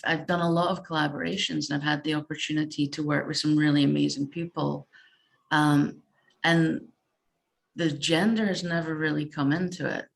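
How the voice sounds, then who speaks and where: A woman researcher answering informally in a panel discussion, speaking in a measured way and pausing mid-sentence. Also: low-pitched, creaky. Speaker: games researcher, roundtable panellist.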